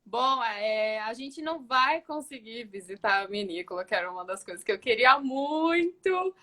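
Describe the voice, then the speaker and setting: A woman's voice: fairly high pitch, expressive, moderate pace, sharp tone. A woman hosting a casual live interview, effusive and enthusiastic as she chats with her guest. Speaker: live stream host.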